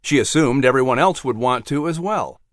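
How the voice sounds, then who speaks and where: A man narrating a children's story as announcer, with expressive, scripted storytelling delivery. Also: mid-range voice, moderate pace, no room sound, clean studio sound.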